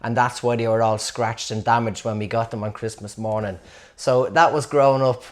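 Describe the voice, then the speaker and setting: Man, Dublin Irish accent, rambling comic storyteller, wry, self-mocking, mid-pitched voice. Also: fairly even intonation, normal speed. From Dublin, Ireland.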